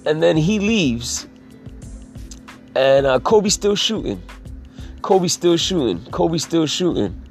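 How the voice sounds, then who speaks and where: A man with a raspy voice talking in a casual, rambling monologue, swearing freely and leaning on "you know" as he restarts his sentences. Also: fairly high pitch, big pitch swings, takes it slowly, long pauses, soft background music. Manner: profane, filler-heavy, restarts sentences.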